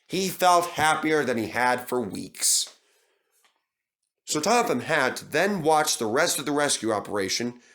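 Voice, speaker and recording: A man's voice: on the high side, expressive, a little slow, a bit bright. A man narrating a scripted children's story in a dramatic style.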